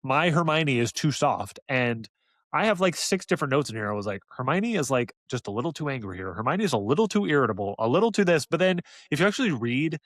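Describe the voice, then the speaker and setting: A man's voice: medium pitch, sing-song, fast talker, fairly bright tone, dry recording, studio quality. A man co-hosting a podcast in casual review discussion, stressing points by repeating his phrases. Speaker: podcast co-host.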